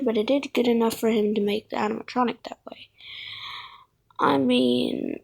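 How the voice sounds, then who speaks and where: Slow, rambling woman with creaky voice. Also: fairly high pitch, darkish tone. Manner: rambling, thinking aloud, self-correcting. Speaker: video creator, host. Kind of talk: casual fan commentary on game characters.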